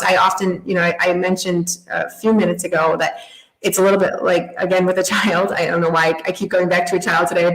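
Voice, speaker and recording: A woman speaking as a guest in a podcast interview, explaining ideas thoughtfully with gentle everyday images. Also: lowish voice. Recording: roomy echo.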